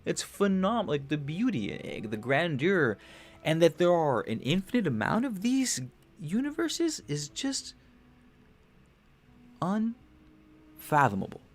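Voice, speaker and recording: A young man hosting a livestream, rambling casually about spiritual ideas, restarting phrases, swearing loosely and getting animated on big points. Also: on the high side, slow pace, pauses often. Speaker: podcast host.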